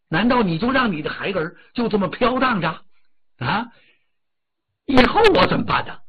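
A man's voice: high voice, husky, phone-quality sound, voice pushed up, heavily acted. A man voicing Nezha, an angry, petulant boy ghost who threatens his mother.

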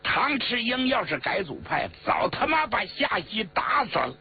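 A man voicing a blunt, furious army commander in a loud, demanding, indignant way within a told story. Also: high-pitched, sing-song, normal speed, breathy edge, faint music behind, pitched above the performer's own voice, full character voice. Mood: angry, indignant. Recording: muffled radio-like recording with mains hum.

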